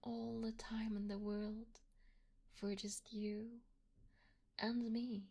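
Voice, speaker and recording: A woman playing an obsessive, lovestruck yandere kidnapper in an ASMR roleplay, doting and possessive, with a breathy voice that often drops into whispers. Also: fairly high pitch, flat delivery, full character voice. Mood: tender, possessive. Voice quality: breathy, frequently dropping into whispers. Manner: soft, coaxing, slow, with hesitant pauses. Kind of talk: ASMR roleplay, intimate one-sided address to the listener.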